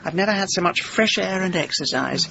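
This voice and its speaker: Sardonic, sharp-tongued woman, muffled. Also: lowish voice, expressive, vocal fry, slight background noise, heavily acted. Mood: dry. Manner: sardonic, dry-witted, quick to retort. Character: sharp-tongued, proud woman, former publicist and amateur sleuth. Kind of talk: radio comedy-drama acting.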